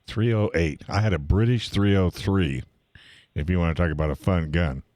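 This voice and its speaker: A man's voice: low voice, normal speed, darkish tone. A man working as a radio host, speaking in a casual, conversational on-air style. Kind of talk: casual radio chat and sponsor reads.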